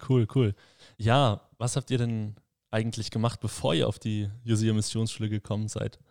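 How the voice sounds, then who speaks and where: A man's voice: on the low side, normal speed, gravelly, dry recording, studio quality. Young man hosting a casual podcast. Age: 20s. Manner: relaxed, friendly questioning with many fillers. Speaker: podcast host, leader at a mission school.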